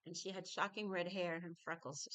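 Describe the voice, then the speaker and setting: A middle-aged woman from New York telling her childhood story, halting and reflective, with frequent fillers. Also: fairly low pitch, steady tone, a bit bright, dry recording, poor audio. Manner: reflective, halting with fillers, laughs through painful memories. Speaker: singer. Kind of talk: personal storytelling.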